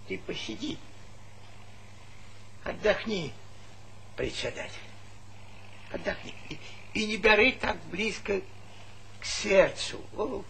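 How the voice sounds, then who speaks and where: An old village grandad in a Soviet radio drama, folksy and meddlesome. His bright, rather high voice swings up and down as he fusses and nags, on a noisy old recording. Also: gravelly, full character voice. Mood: soothing, fussing. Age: older. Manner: folksy, coaxing, nagging repetitions. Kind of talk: Soviet radio play acting.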